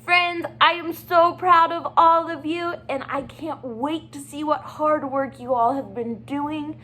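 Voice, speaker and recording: A woman's voice: sits high, steady tone, a little slow, a bit bright, slight background noise. A woman teacher talking to young children in an encouraging, simple, child-directed way, as for a read-aloud.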